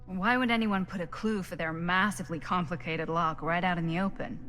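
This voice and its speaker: A woman's voice: on the low side, steady tone, brisk, a bit bright, soft background music, lightly acted. A woman playing a practical, skeptical investigator in a video game, speaking matter-of-factly. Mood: focused, skeptical.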